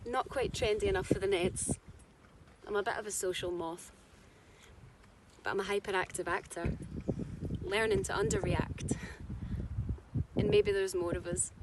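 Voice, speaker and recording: A woman's voice: mid-range voice, fairly even intonation, moderate pace, pauses often, natural voice. A woman performing a rhyming spoken-word monologue as an anxious, candid actor, with rhythmic, theatrical emphasis. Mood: defiant, exposed. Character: anxious, candid female actor baring her real self. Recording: distant mic, loud background noise.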